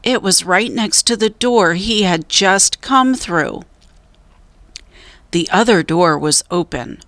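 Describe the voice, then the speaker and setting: Slow, deliberate woman reading a children's story. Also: on the low side, lively intonation, a bit bright, no room sound. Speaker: storybook reader. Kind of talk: scripted children's story narration.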